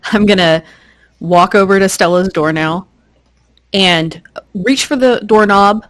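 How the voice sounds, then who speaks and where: A woman playing in a tabletop role-playing game, speaking casually and a little haltingly, in and out of character. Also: mid-range voice, a bit bright.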